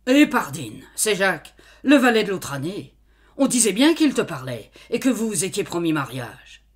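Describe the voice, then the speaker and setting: A stubborn brute of a male farmer in a storybook, voiced by a woman, barking questions in a loud, insistent voice. The voice swings widely in pitch, yet keeps an unhurried pace. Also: very animated, heavily acted. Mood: accusing. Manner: loud, angry, interrogating. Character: brutish stubborn middle-aged male farmer, master.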